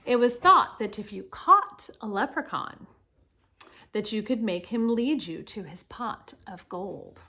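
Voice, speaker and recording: Animated woman reading aloud to children. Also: medium pitch, unhurried. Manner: addresses young listeners directly, explanatory. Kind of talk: children's picture-book read-aloud with casual asides.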